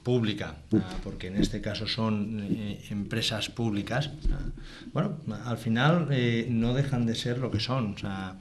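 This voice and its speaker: A man in a local TV debate arguing his point in a measured way, with frequent hesitations. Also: lowish voice, a little hiss or hum. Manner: measured, argumentative, many hesitation fillers. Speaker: debate participant.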